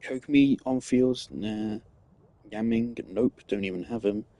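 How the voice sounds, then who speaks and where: Low-voiced man rambling through game commentary. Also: unhurried, dark tone, no echo. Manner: rambling, analytical. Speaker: gaming streamer. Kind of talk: casual gameplay commentary, thinking aloud.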